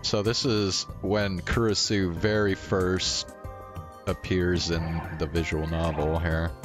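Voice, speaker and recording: A man's voice: deep voice, flat delivery, slow, music in the background. A man reading a visual novel aloud as a streamer.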